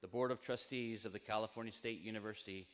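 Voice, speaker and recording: A middle-aged American man giving a formal ceremonial speech in measured, emphatic phrases at a podium microphone. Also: on the low side, fairly even intonation. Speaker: university trustee speaking at commencement. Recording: long reverberant PA in large tent.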